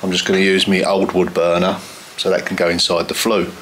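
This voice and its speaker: A man in his fifties talks through his renovation plans in a relaxed, rambling way. His voice sits slightly low but sounds bright and forward. A faint hiss of ambience hangs behind him in the stone room. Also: fairly quick. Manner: chatty, explains plans step by step with fillers. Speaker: vlogger renovating a stone cottage. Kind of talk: casual walkthrough vlog. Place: inside a cluttered stone outbuilding.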